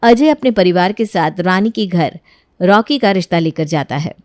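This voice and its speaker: A woman's voice: on the low side, expressive, moderate pace, no echo, clean studio sound. A woman giving scripted narration for a family moral story.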